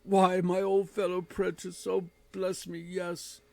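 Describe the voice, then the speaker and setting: A man's voice: sits high, completely flat delivery, slow, vocal fry, voice pushed up, exaggerated voice. A man voicing an old miser crying out. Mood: excited. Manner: cries out with strong emotion.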